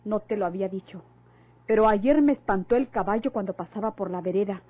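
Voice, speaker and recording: An actress playing a mocking, spiteful villainess with a Mexican accent in a vintage radio drama. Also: female voice, medium pitch, fairly quick, mellow, close to an everyday voice. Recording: thin phone-like old radio recording.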